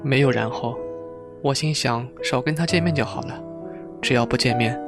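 A man acting a polite, earnest gentleman confiding awkwardly in an audio drama, in measured dialogue. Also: medium pitch, over music, close to an everyday voice.

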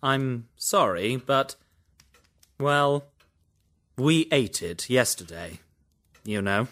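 An actor playing a brisk, eager detective in an audiobook, polite and chatty. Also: male voice, mid-range voice. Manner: lively, sing-song, polite and apologetic. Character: brisk, eager middle-aged male detective. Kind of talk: audiobook character reading.

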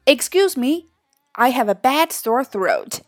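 A woman reading the lines of a polite female customer at a pharmacy in a clear, careful textbook manner. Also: high voice, sing-song, takes it slowly, dry recording, clean studio sound, faint music behind, exaggerated voice.

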